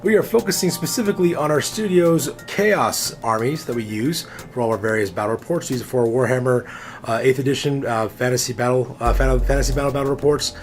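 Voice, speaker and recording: A man hosting a miniatures hobby show, with a raspy voice from a cold, addressing viewers in a showman style and chatting casually with many fillers. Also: mid-range voice, brisk, soft background music. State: hoarse from a cold. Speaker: host of a miniatures hobby channel. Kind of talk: casual hobby showcase presenting.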